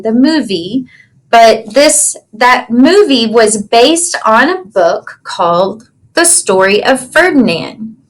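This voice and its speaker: Slow, high-voiced woman reading to children. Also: low-quality recording. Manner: simple, explanatory, checks in with young listeners. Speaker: teacher reading aloud to children. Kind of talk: children's storybook read-aloud with explanations.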